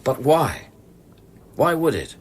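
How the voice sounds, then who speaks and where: An actor in a radio play, playing a sober, skeptical psychologist on a space mission who questions in an insistent, probing way. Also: male voice, fairly high pitch, very animated, slow, slight background noise. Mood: incredulous. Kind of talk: dramatic radio play acting.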